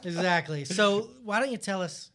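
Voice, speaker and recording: A man's voice: sits high, moderate pace, fairly bright tone. A man co-hosting a casual interview, joking and laughing often. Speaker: co-host.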